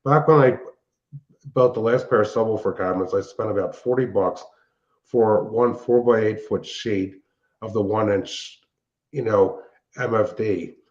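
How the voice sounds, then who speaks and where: A man's voice: fairly low pitch, measured pace. An older man chatting casually and technically, explaining things in a rambling way as a show host and hobbyist. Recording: dull, band-limited sound.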